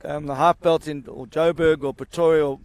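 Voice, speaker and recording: A man's voice: on the high side, steady tone, a little fast, a little mellow, clean tone. A man giving chatty, explanatory live commentary on an amateur cricket match. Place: outdoors at a cricket ground.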